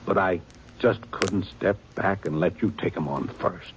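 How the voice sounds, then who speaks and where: An actor playing a weary, dutiful aging small-town sheriff with a plain folksy drawl in a 1950s radio drama. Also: male voice, sits low, unhurried. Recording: old radio broadcast with faint hiss.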